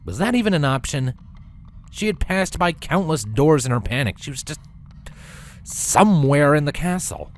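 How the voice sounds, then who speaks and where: A man narrating a scripted story with a dramatic, expressive delivery. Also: a little high, moderate pace.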